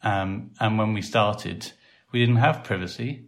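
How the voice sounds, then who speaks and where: A man with a Welsh accent, hosting a casual podcast and talking in a relaxed, rambling way with many fillers. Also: on the low side, unhurried. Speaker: podcast host and site developer.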